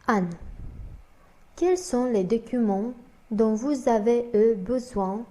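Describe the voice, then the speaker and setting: A woman slowly reading a scripted learner dialogue aloud, naming each speaker before their line. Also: fairly high pitch, mellow. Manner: slow, deliberate, reads both parts and announces each speaker.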